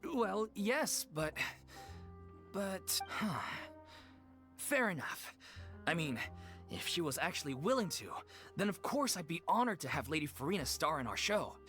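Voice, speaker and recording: A man's voice: a little high, sing-song, a little slow, a bit bright. An actor playing an earnest, apologetic male leader of an amateur theater troupe in a game cutscene. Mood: reverent. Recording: game audio with faint music.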